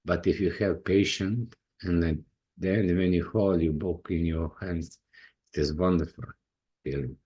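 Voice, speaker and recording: Man, croatian accent, deep voice, slow careful english, husky tone. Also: steady tone, mellow, dull audio. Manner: halting, non-native phrasing with restarts. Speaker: indie author. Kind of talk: personal talk to camera.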